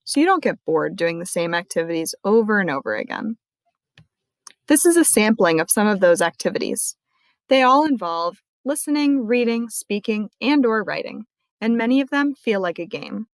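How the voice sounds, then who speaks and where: A woman librarian walking viewers through a screen-recorded tutorial in a clear, friendly explaining manner. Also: mid-range voice, expressive, moderate pace, no room sound.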